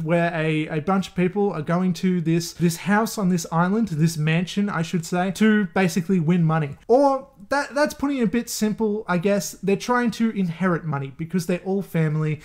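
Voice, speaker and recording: A man hosting his channel, giving casual movie recommendations, candid and swearing freely, laughing often between thoughts. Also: high-pitched. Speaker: channel host.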